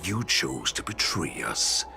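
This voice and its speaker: An actor playing an ancient, menacing wolf warlord in a video game, slow, scornful and grandiose. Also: male voice, low voice, expressive, over music, heavily acted. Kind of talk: video game character acting.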